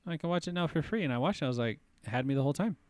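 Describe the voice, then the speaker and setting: A man's voice: on the high side, lively intonation, racing, a little mellow, no room sound. A man in his late thirties with an American accent, hosting a podcast in casual, slangy chat. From California, United States. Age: late 30s. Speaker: podcast host.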